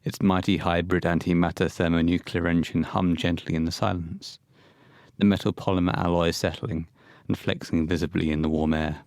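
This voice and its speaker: A man's voice: sits low, little pitch movement, normal speed, darkish tone, no echo, studio quality. A man reading scripted narration as the storyteller of an audio horror play.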